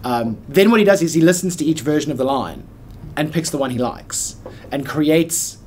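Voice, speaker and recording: A man's voice: mid-range voice, darkish tone, slight background noise. A man in his forties giving a casual, plain-spoken explanation in an interview, with emphatic asides. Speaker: radio play producer explaining the process. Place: small office or studio room by a window, indoors.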